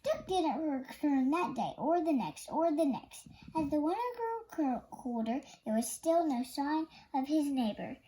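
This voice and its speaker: A young child narrates a storybook in a high, lively voice. The reading is slow and a little halting, with small stumbles that get corrected. The pitch moves up and down expressively. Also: slight background noise, poor audio. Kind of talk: read-aloud story narration.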